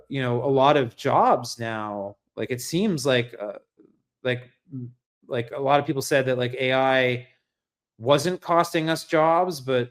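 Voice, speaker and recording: An American man hosting an unscripted livestream monologue, speaking earnestly and reflectively in a halting, searching way, often repeating words and checking in with "right?". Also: mid-range voice. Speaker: livestream host and essayist.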